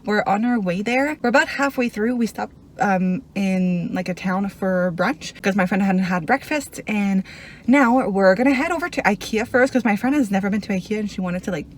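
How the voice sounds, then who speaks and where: A woman's voice: mid-range voice, normal speed, a little hiss or hum. A young woman whose first language is French, chatting casually in a reading vlog and often saying "like". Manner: chatty, rambling, lots of "like". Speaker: vlogger.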